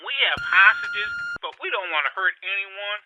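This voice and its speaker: A man's voice: high-pitched, moderate pace, noisy background, close to an everyday voice. A man voicing a cute, innocent and slightly dim teddy bear in a comic audio drama, hesitant and easily sidetracked. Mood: nervous, naive.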